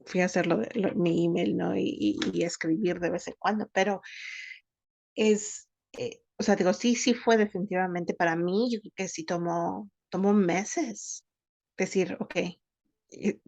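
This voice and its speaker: A woman, co-hosting a podcast and speaking thoughtfully in halting phrases. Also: medium pitch, fairly even intonation, vocal fry, darkish tone, no echo. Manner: thoughtful, halting, many restarts. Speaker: podcast co-host. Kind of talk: casual conversational podcast.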